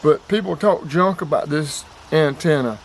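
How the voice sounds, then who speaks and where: A man's voice: on the high side, normal speed. A man giving a casual, plainspoken vlog demonstration outdoors, with emphatic repetition. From North Carolina, US. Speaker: outdoors and radio hobby vlogger. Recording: clear background ambient noise.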